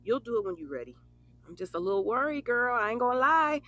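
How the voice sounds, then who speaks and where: Bawdy young woman best friend, higher bright voice, animated, blunt, teasing, dry close sound, audio drama. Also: fast, a little hiss or hum. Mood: caring, frank. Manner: sassy, blunt, foul-mouthed, teasing. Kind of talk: casual conversational audio drama.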